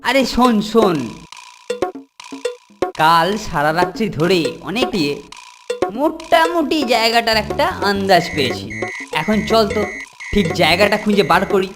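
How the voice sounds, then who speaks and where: Woman playing a boastful, animated scheming schoolboy bully. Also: mid-range voice, unhurried, long pauses, natural voice. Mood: bossy. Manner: bossy, boastful, exclamatory. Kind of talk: Bengali children's cartoon dialogue. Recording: music under the voice.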